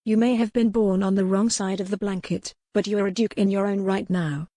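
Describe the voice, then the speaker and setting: A woman's voice: mid-range voice, steady tone, fairly quick, dark tone, no echo, lightly acted. Woman acting a proud, defiant medieval noblewoman, close-miked. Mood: challenging. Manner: formal, pointed speech. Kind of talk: historical romance audiobook dialogue.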